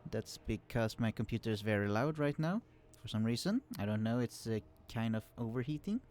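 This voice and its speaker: A man talks through a strategy game as he plays, explaining units and tactics in a loose, conversational way. He pauses often with fillers and restarts his sentences. His voice sits at an ordinary middle pitch and is recorded at a quiet level. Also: expressive. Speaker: let's play host. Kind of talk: casual gameplay commentary. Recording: quiet voice, turned down to hide computer hum.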